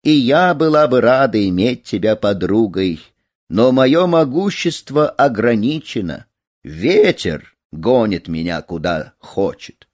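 A man's voice: medium pitch, big pitch swings, slow pace, darkish tone. A man voicing a helpless, resigned rain cloud in a storybook tale, in a plaintive manner.

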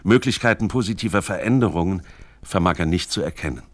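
Low-voiced man narrating, dry studio sound. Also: animated, fast, no room sound. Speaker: narrator of a radio literary feature. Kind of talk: scripted documentary narration.

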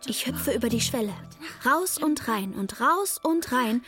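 A woman's voice: high-pitched, animated, measured pace, a bit bright, soft background music. A woman playing a young girl who narrates her lonely hotel stay in plain, short, childlike sentences, as in a radio drama. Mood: matter-of-fact, childlike. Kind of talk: first-person radio drama narration in present tense.

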